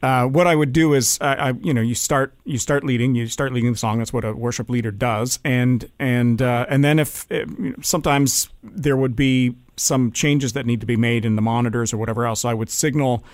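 A man's voice: mid-range voice, steady tone, a little fast, few pauses, no echo. A man chatting casually as a show host, often repeating himself as he works through a thought and checking in with the listener. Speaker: show host and former worship leader. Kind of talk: casual conversational interview chat.

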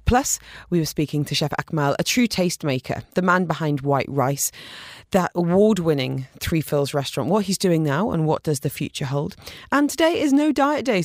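A woman's voice: on the low side, no echo. A woman presenting a radio food show and chatting in a studio with an effusive, emphatic way of talking. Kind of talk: radio presenting and interview chat.

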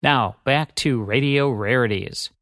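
A man's voice: mid-range voice, a little slow, fairly bright tone, no echo, clean studio sound. A man speaking as a radio show co-host, reading a scripted promo in a polished, orderly way. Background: music.

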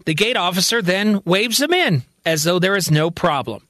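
A man narrating a science-fiction story in a calm, conversational storytelling manner. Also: sits high, normal speed, no echo, studio quality. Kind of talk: spoken sci-fi story narration.

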